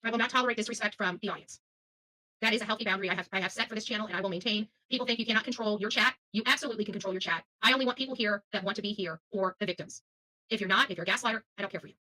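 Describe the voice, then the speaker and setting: A woman's voice: mid-range voice, rapid-fire, sharp tone, a little hiss or hum, poor audio. A middle-aged woman giving unscripted commentary to her online channel's audience, blunt and combative, with salty language.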